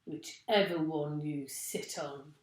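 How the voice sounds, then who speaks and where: A woman's voice: low voice, steady tone, takes it slowly, reverberant, noisy background, pitched below the performer's own voice. A woman voicing a fierce, spiteful, bad-tempered man from a children's storybook, barking and menacing. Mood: sly menace.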